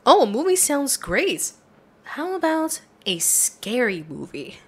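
A woman's voice: high voice, sing-song, slow pace, slightly rough. A woman performing a girlfriend roleplay for listeners, coaxing and affectionate. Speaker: ASMR roleplay creator.

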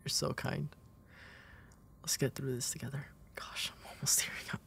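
A man acting a gentle, protective big brother in an intimate comfort roleplay audio, tender and hesitant, with a breathy, soft delivery. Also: medium pitch, little pitch movement, normal speed. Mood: tender, caring. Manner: hesitant, tender, soft-spoken, often dropping into breathy whispers.

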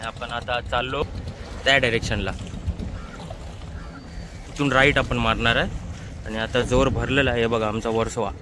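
A man's voice: mid-range voice, steady tone, normal speed, pauses often. A man with a Mumbai accent giving casual vlog commentary, repeatedly pointing things out to viewers. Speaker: vlogger. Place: outdoors on a small open boat on a creek. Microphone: handheld phone. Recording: noisy outdoor boat recording.

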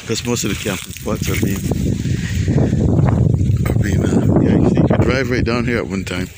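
A man's voice: fairly low pitch, steady tone, normal speed. A man narrating a casual nature walk to his phone camera, rambling and thinking aloud with many fillers. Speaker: nature vlogger. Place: outdoors on a windy coast and scrub. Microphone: phone camera held by the speaker. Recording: loud wind and outdoor noise.

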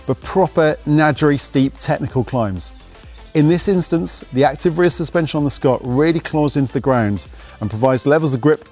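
A young man narrating a scripted mountain bike review in a brisk, technical, matter-of-fact way, speaking outdoors in woodland on a lapel mic. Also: medium pitch, a little mellow. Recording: faint music underneath.

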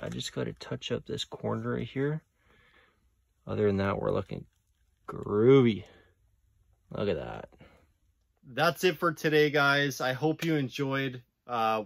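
A man's voice: medium pitch, no echo, studio quality. A young man giving a casual walkthrough of a basement renovation he is working on, explaining as he goes and calling his viewers "guys" again and again. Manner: casual, explanatory, says "guys" and "right?" often. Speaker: real estate investor vlogger. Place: basement under renovation, indoors. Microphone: phone camera held at arm's length.

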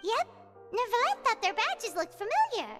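Casting: tiny childlike game fairy, extremely high squeaky voice, very animated and chirpy, music behind. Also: female voice, normal speed. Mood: perky, curious. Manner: eager, chirpy, exclamatory. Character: tiny, perky, childlike female fairy companion. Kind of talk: video game voice acting. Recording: long reverb, music behind voice.

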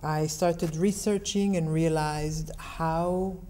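A middle-aged woman from Israel answers interview questions at an unhurried pace. Her voice sits low and sounds mellow. She explains things in a calm, measured way. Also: a little hiss or hum. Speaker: interview guest.

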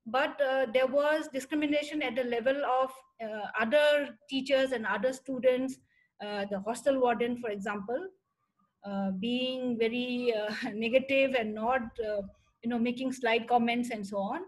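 A middle-aged woman panelist answering a question over a video call from an indoor room, speaking in a measured, plain way with fillers. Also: on the high side, steady tone, rough recording. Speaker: panelist, professor. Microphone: laptop webcam on video call.

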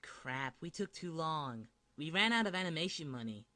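A sardonic teenage ninja voiced by a man for a parody cartoon. The voice is crisp-edged and bright, recorded very dry, with deadpan sarcasm. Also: on the high side, moderate pace, dry recording. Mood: annoyed. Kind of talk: comedic parody dub.

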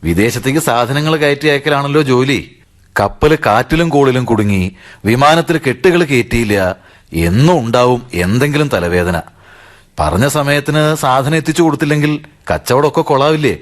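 A man's voice: mid-range voice, lively intonation, a little fast, lightly acted. A man with a Kerala accent playing an earnest, respectful but determined nephew in a radio drama. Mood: weary, explaining. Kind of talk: Malayalam radio drama acting.

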